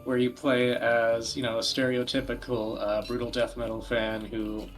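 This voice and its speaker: A man giving casual podcast commentary, explaining things in a wordy, halting way. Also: mid-range voice, little pitch movement, poor audio. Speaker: podcast host presenting video games. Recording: music playing behind the voice. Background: background music.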